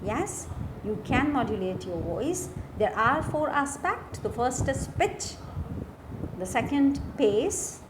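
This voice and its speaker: Slow-speaking Indian woman teacher, noisy recording. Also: high voice, big pitch swings. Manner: deliberate, repeats key phrases. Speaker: teacher, lecturer. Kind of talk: instructional lesson explaining slides.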